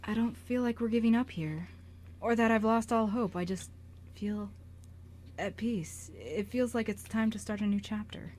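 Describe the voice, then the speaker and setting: A woman playing a gentle, serene male ghost in an amateur radio drama, speaking sincerely and haltingly. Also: medium pitch, a little hiss or hum, natural voice. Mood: serene, reassuring.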